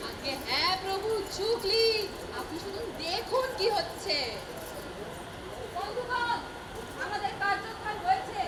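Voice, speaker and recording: A woman's voice: extremely high pitch, a little slow, long pauses. A woman playing a grasping, scheming female leader of ghosts in a stage comedy, declamatory and wheedling like a rabble-rouser. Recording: echoey noisy stage with crowd.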